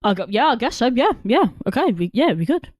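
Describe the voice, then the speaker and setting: A woman's voice: fairly high pitch, sing-song, brisk, a little mellow, no room sound, clean studio sound. A young woman co-hosting a film podcast, chatting casually in an informal, opinionated way. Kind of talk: casual chat.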